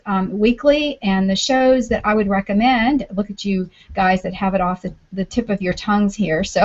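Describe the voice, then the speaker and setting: A woman hosting a casual group video chat, chatty and self-joking, talking over a call mic. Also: medium pitch. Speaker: podcast network host. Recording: dull lo-fi online call audio.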